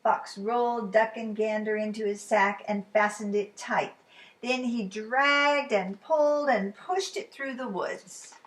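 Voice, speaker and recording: A woman reading a picture book aloud to children in a dramatic, expressive way, at times dropping to a hush. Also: mid-range voice, slight background noise, rough recording. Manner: dramatic, dropping to hushed whispers. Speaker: children's storytime reader.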